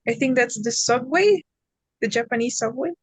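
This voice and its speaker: Lively young Mexican woman, higher voice. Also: moderate pace, husky, dry recording. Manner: lively, animated storytelling. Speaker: student teacher. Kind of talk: exam conversation.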